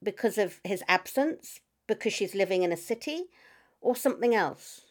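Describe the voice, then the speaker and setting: A woman's voice: mid-range voice, animated, moderate pace. A woman interviewer asking a novelist probing questions about his book. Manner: inquisitive, probing.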